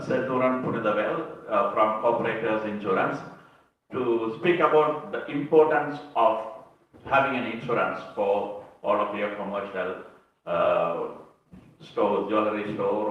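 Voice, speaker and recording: A man moderating a public meeting and introducing speakers in a halting, courteous way. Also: on the low side, lo-fi sound. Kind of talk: public event hosting. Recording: echoey room, distant mic, crowd murmur.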